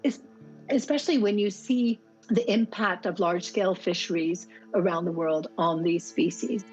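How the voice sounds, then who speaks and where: A woman's voice: on the low side, normal speed, darkish tone, faint music behind. Earnest woman, slightly dull recording. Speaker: interview guest, shark conservationist. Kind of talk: reflective personal storytelling.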